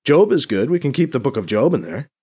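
An impatient, irreverent former pope from an audiobook, played by a male narrator. His pitch is raised and swoops widely, and he talks in blunt, sarcastic exclamations. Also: very animated, quick pace, dark tone, husky. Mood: dismissive.

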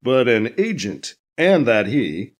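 A man's voice: medium pitch, very animated, slow, pitched below the performer's own voice. A man doing a careful TV news anchor. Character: measured male TV news anchor.

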